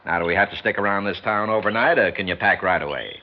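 An actor playing a paunchy, cigar-smoking sports promoter in a 1960s radio drama, persistent and full of slangy sales patter. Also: male voice, fairly low pitch, fast talker, close to an everyday voice. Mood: amused, eager. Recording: muffled, slightly noisy old radio recording.

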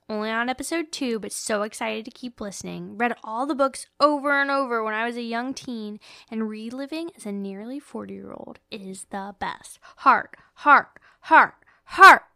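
A woman's voice: a little high, unhurried, gravelly, pushed and bright, no echo, studio quality. A woman hosting a podcast, chatting casually and comedically about a book in a playful, emphatic, sweary way. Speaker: podcast host.

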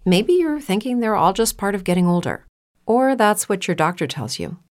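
A woman reading a scripted commercial in a calm, reassuring way. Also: on the low side. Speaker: ad announcer.

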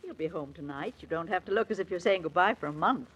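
An actress playing a middle-aged, no-nonsense mother-in-law in a 1940s radio drama, husky, blunt and dry in her retorts. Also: on the low side, expressive, fast, a bit bright, heavily acted. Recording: muffled old radio recording.

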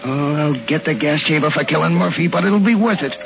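An actor playing a cocky, flippant husband who takes danger for a prank, in 1950s radio drama style. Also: male voice, a little high, a little fast, vocal fry. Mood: flippant, confident. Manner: flippant, slangy. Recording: muffled, noisy 1950s radio recording.

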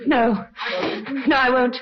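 A woman's voice: sits high, steady tone, takes it slowly, a crowd in the background. A woman playing a tense, defiant wife under suspicion in an old radio melodrama, speaking emphatically and repeating herself. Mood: distraught, defiant. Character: anguished, defiant married woman accused of murder. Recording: muffled old radio recording.

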